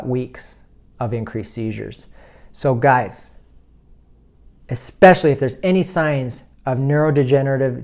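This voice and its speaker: A man's voice: mid-range voice, darkish tone, highs cut off. A man in his late thirties giving an earnest, informal health talk to his viewers. He urges them on and reels off long lists of items. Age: 30s. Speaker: health video host.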